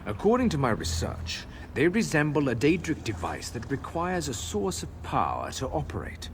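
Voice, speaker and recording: An actor playing an earnest, learned scholar of arcane devices in a fantasy video game, explaining things in a measured way. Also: male voice, on the high side, wildly swooping pitch, slight background noise. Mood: fascinated, explanatory. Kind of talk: video game character dialogue.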